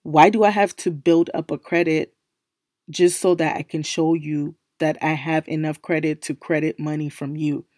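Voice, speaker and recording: A young woman hosting a casual solo podcast, her voice still low and worn from recently losing it, chatty and emphatic, circling back to repeat her points. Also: level tone, moderate pace, a little mellow, no echo, studio quality. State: recovering from a lost voice, speaking low. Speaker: podcast host.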